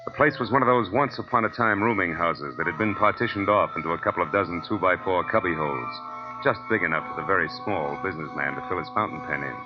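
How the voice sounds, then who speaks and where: A world-weary detective who narrates in a low, dry drawl. Music runs under the dull, dated radio sound. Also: male voice. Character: hard-boiled private detective, wry and laconic. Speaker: narrator and lead. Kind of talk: 1940s radio acting. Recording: old radio broadcast with music.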